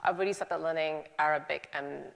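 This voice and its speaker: A woman's voice: low voice, fairly even intonation, brisk, creaky. A woman in her forties from Singapore, a native Malay speaker, answering interview questions on stage in a chatty, self-deprecating way. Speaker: guest speaker. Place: lecture hall. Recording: reverberant hall.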